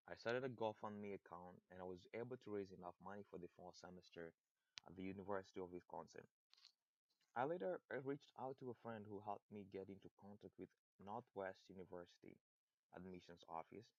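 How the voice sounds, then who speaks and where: A young Kenyan man with a very low, deep voice reads a personal speech, steady and earnest, restarting a word now and then. The sound is dry and a little muffled. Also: no room sound. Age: young adult. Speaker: student speaker.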